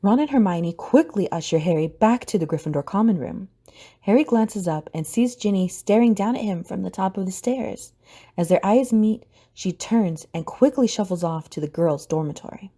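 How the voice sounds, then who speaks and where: A woman's voice: medium pitch, lively intonation, normal speed, mellow, slightly rough. A woman narrating a scripted audio drama as storyteller.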